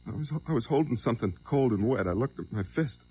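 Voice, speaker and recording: An actor playing a desperate, brooding fugitive killer in an old-time radio crime drama, given to overwrought outbursts. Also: male voice, medium pitch, lively intonation, fast talker, a little mellow, slightly rough. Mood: brooding, tense. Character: desperate fugitive man who killed a truck driver. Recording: dull, noisy old radio recording.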